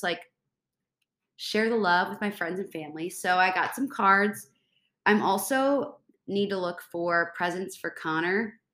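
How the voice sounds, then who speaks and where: A woman's voice: medium pitch, fairly bright tone. Bubbly congested young woman, echoey room. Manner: bubbly, rambling, says like a lot. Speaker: podcast host. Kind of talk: casual solo chat.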